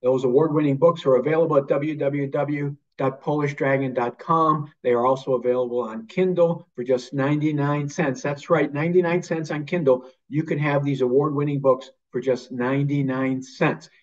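An older male host presents a scripted show introduction. His voice sits a little high, and the video-call sound is slightly muffled.

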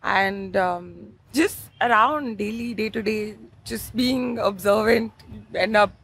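A young woman from India talks casually as an interview guest, mid-pitched, with a touch of vocal fry. She rambles in a chatty, self-deprecating way and fills her pauses with "like" and "I mean.". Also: fairly bright tone, no room sound. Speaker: interview guest, stand-up comedian. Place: outdoor cafe patio.

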